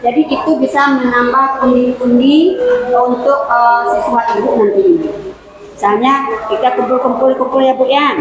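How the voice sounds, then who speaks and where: A woman's voice: high voice, steady tone, normal speed. A woman teacher moderating a school meeting, explaining steadily and checking agreement with short questions. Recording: echoey room with loud background noise.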